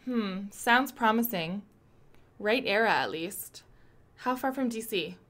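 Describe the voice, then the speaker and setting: A woman's voice: medium pitch, lively intonation, fairly bright tone. Actress as a commanding, blunt woman investigator. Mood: decisive, blunt. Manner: brisk, probing, blunt. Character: determined, commanding woman leading an urgent investigation. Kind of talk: radio play acting.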